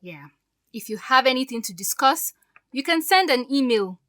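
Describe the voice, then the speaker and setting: A woman's voice: high voice, expressive, moderate pace, fairly bright tone. A woman acting in an audio drama as a poised, resolute fiancée who speaks with pointed, sarcastic politeness. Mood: cool, cutting.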